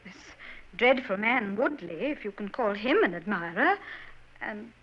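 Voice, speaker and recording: A woman's voice: medium pitch, animated, a little slow. An actress playing an earnest young Victorian woman in an old radio drama, talking in a hesitant, genteel way. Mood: worried. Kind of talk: 1940s radio acting. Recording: dull old radio broadcast.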